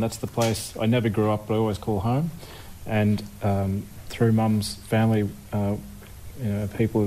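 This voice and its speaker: Panelist: Australian man, lowish flat delivery, hesitant and casual, faint noise behind him. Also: low-quality recording.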